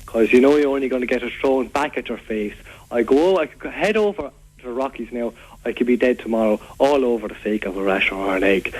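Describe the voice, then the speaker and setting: A man's voice: medium pitch, darkish tone, lightly acted, rough recording. A man with a County Waterford Irish accent playing an aggrieved, rambling local who earnestly complains as a caller on a radio phone-in. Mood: fed up, pleading. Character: aggrieved, rambling local man fed up with food-throwing youths. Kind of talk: prank call to a local radio show.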